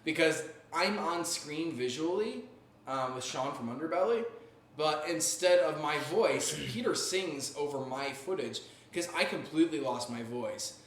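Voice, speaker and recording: A man co-hosting a casual live chat, chatty and quick to laugh and tease. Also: fairly high pitch, expressive. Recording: echoey room, faint noise.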